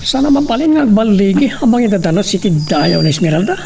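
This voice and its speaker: A man's voice: high voice, fast talker, vocal fry, mellow. A man playing a secretive plotter in an audio drama, musing to himself in monologue. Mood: brooding. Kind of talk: Ilocano radio-style drama monologue. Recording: distant, faint insect noise.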